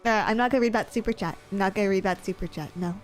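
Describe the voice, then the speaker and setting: A woman's voice: medium pitch, rapid-fire, soft background music. A young woman streamer in casual chat with viewers, sniffly from allergies, rambling with lots of fillers and giggles.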